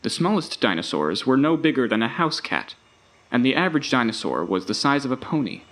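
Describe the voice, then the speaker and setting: Man acting as grand, animated theme park tour narrator. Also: mid-range voice, fairly quick, rough recording. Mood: grand, informative. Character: recorded tour-guide narrator of a dinosaur park. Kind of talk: scripted documentary-style tour narration.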